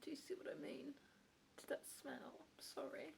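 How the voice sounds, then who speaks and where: A young woman whispering softly and shyly in an ASMR roleplay, speaking close to the mic. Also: normal speed. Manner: soft whispering, apologetic and shy. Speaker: ASMR creator.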